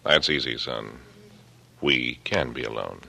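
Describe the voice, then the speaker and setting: Very deep-voiced, menacing older killer. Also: male voice, vocal fry, over loud music, full character voice. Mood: sly, casual. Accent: American. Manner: taunting, deliberate, condescending. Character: coolly menacing older killer, smug, patronizing and calculating. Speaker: radio actor. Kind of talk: 1940s radio drama acting. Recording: noisy vintage radio broadcast recording.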